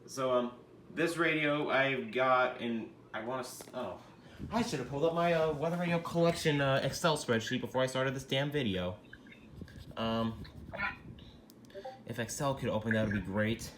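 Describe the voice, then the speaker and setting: A man hosting a casual home video about his radio collection, talking in a loose, rambling way with plenty of swearing and sudden loud outbursts at himself. His voice sits at an ordinary pitch. The room is echoey, with a steady noisy background. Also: normal speed. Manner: rambling, self-deprecating, swears freely, bursts into shouting. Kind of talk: casual show-and-tell vlog.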